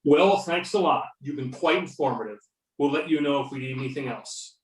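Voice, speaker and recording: A man's voice: mid-range voice, brisk, lightly acted. A man playing a courteous, inquisitive investigator in an amateur readers theater, businesslike, recorded in a roomy echo. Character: courteous male investigator questioning witnesses. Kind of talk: amateur readers theater reading over videoconference.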